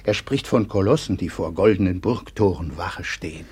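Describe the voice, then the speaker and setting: An actor playing a stern, dutiful schoolteacher and guardian in a German radio play, speaking formally. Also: male voice, low voice, normal speed, darkish tone, breathy edge. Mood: impressed, confiding.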